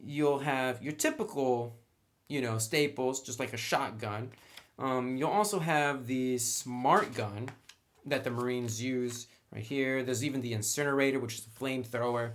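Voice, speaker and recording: A man's voice: mid-range voice, unhurried. A man giving a casual, unscripted review as he browses through a book, talking conversationally through each item.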